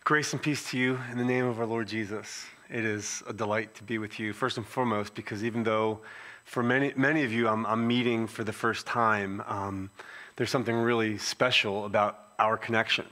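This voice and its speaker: A man's voice: fairly low pitch, steady tone, moderate pace, sharp tone. A middle-aged man from Philadelphia with a Philadelphia accent, a pastor giving a conversational sermon introduction with frequent restarts. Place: church sanctuary.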